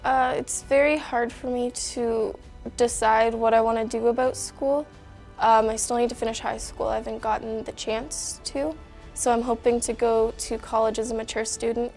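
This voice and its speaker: A woman's voice: fairly high pitch, little pitch movement, moderate pace, darkish tone, faint music behind. A nineteen-year-old woman with a Canadian accent, giving a plain-spoken personal testimonial.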